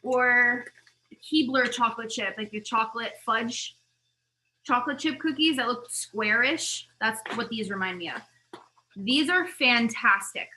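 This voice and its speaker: A woman's voice: fairly high pitch, unhurried, a little hiss or hum, poor audio. A woman vlogger losing her voice, chatting in a rambling, talkative way while she reviews snacks. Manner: rambling, talkative, animated asides. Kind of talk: casual chatty product review.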